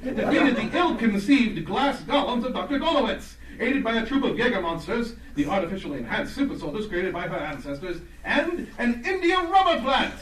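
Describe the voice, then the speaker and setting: A man's voice: very high-pitched, sing-song, moderate pace, few pauses, fairly bright tone, exaggerated voice. A man playing a bombastic, hammy announcer of a melodramatic radio serial. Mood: grandiose. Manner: grandiose, hammy delivery. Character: bombastic over-the-top male serial announcer. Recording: echoey hall, distant mic, audience noise.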